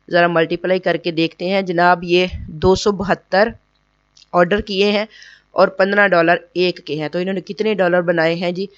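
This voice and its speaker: Hoarse woman explaining patiently. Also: fairly low pitch, no room sound, muffled recording. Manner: keeps checking in with "okay?" and repeats points patiently. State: sore, hoarse throat. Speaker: online earning tutorial host. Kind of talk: casual screen tutorial.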